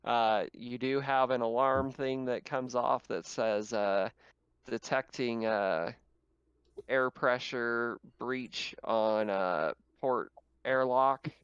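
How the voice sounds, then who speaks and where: A man narrating a tabletop roleplaying game as game master, in a casual, halting, conversational way. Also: mid-range voice, fairly even intonation, a bit bright, clean tone, no echo, lo-fi sound.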